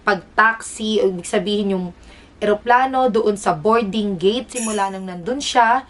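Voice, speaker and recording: A woman's voice: medium pitch, normal speed, recorded from a distance. A Filipino woman explaining things casually to vlog viewers in a chatty, informal way with joking asides. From Philippines. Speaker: vlogger, air traffic employee.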